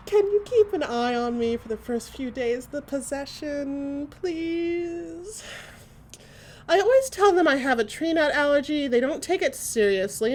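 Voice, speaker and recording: A woman acting a chatty, excitable gossip who tells stories to a friend in a theatrical, emphatic way, in a comic audio drama monologue. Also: sits high, slight background noise. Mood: giddy, then exasperated.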